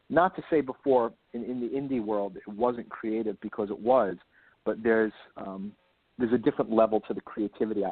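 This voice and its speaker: A man speaking as an author guest in a casual interview, enthusiastic and rambling, repeating words for emphasis, with a slightly husky voice. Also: on the low side. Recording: lo-fi phone-like line, roomy echo.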